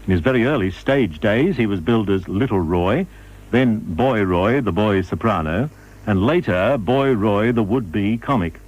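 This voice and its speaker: A man reading scripted radio documentary narration in a measured, formal manner. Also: lowish voice, expressive, a little mellow, poor audio.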